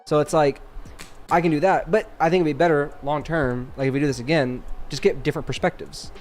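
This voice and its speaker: A man's voice: fairly high pitch, steady tone, brisk, a little mellow, faint music behind. A man co-hosting a podcast in a casual, slangy chat, often repeating himself for emphasis. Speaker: podcast co-host.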